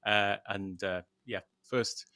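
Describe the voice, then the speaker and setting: British man in his thirties, lowish voice, rambling with lots of fillers, dry close sound. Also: fairly even intonation, takes it slowly, bright, forceful tone, no echo. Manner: rambling, hesitant with many fillers, chuckles often. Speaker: guest, channel creator. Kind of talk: casual livestream interview.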